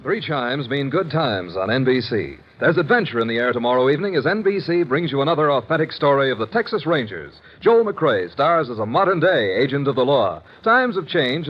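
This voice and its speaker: High-voiced, animated old-time radio announcer man. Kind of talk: 1940s-50s scripted radio announcing. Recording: dull old radio broadcast recording.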